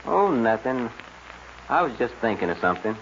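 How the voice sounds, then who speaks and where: Sly jealous gunhand, 1940s western radio play, dull hissy recording. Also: male voice, medium pitch, very animated, normal speed, a bit bright. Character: jealous cowboy stage guard, bold and sly.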